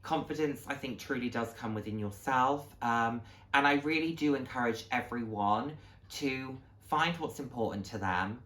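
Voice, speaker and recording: A man's voice: mid-range voice, normal speed, fairly bright tone. Man, late twenties, casual vlog talk, earnest, reflective, supportive. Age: late 20s. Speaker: vlog host. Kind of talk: casual heartfelt talk to viewers.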